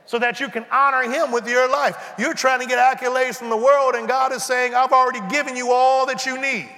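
Pastor in his forties, high bright voice, playful preaching, acts out both sides of a dialogue. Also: flat delivery, brisk, big-room sound. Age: 40s. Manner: conversational preaching, acts out dialogue, humorous asides. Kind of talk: sermon. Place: church sanctuary.

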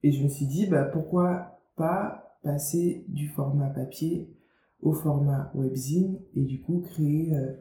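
Dark-voiced young woman chatting in a room. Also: low-pitched, slow. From Guadeloupe. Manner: chatty, lots of fillers and restarts. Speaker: author and media founder, guest. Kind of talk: casual interview talk. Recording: roomy, faint background noise.

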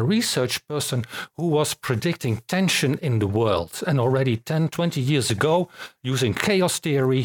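A man co-hosting a casual radio discussion, reflective and measured. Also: medium pitch. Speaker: station founder and co-host.

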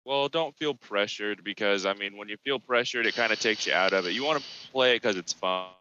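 A man's voice: lowish voice, brisk, pushed and bright. A young man co-hosting a podcast over a voice call, telling rambling stories with lots of swearing and restarts. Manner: rambling storyteller, swears freely, restarts often. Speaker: podcast co-host. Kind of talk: casual chat. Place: at work. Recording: lo-fi call audio.

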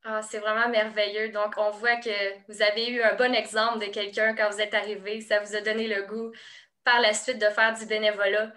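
A woman's voice: medium pitch, flat delivery, moderate pace, sharp tone. A woman interviewing a guest, encouraging and asking questions with frequent fillers. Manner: hesitant, many fillers while asking.

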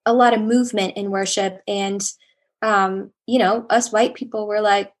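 A young woman speaking as a podcast guest with a calm, soothing voice, talking casually and reflectively. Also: mid-range voice, normal speed. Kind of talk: casual conversational interview.

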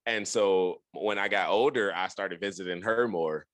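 A young man from Detroit, Michigan, tells a long personal story as a podcast guest. His voice sits very low, and the sound is close and dry with no room to it. He rambles, restarts and pads his sentences with "like". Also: moderate pace, a bit bright, no room sound. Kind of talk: casual storytelling interview.

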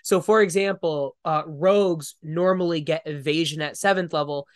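A man's voice: a little high, normal speed, no echo, studio quality. A man co-hosting a tabletop gaming podcast, congested and a little nasal, explaining his views in a thoughtful, casual way. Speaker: podcast co-host. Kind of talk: casual chat.

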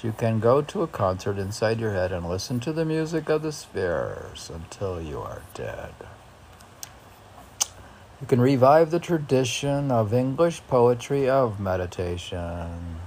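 A man's voice: medium pitch, sing-song, measured pace, darkish tone, a little hiss or hum. A man reading aloud and commenting informally, musing in a rambling way as if to himself. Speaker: podcast host reading and commenting on poems.

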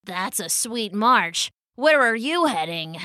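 A bustling storybook bumblebee, voiced by a woman, talking slowly with buzzing sounds and a weary edge. The tone is bright and close. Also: on the high side, very animated, no room sound, full character voice. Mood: friendly. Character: bustling bumblebee troubled by factory smoke.

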